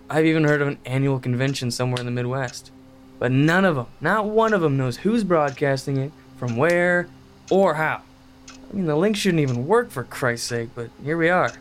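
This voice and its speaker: A man's voice: a little high, normal speed, slight background noise, lightly acted. A man playing an enthusiastic stoner roommate in a horror audio drama, talking in slangy, laid-back rambles about creepy internet lore. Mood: eager explaining.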